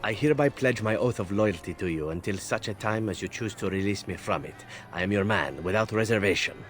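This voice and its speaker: A man playing a suave, flirtatious male elf assassin in a video game, with a smooth, teasing, courtly manner. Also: fairly low pitch, animated, fairly quick, slight background noise, lightly acted. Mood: solemn pledge.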